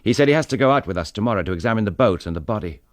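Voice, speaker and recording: An actor playing a tormented English gentleman in a radio drama, speaking with intense, bitter, clipped delivery. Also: lowish voice, lively intonation, rapid-fire, no echo, clean studio sound, close to an everyday voice. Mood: tense, subdued. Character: tormented English gentleman husband confessing to killing his wife.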